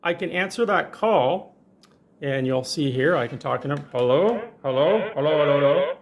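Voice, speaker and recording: A man's voice: a little high, lively intonation, moderate pace, dull audio. A man presenting a casual hands-on tech tutorial in an informal, enthusiastic way.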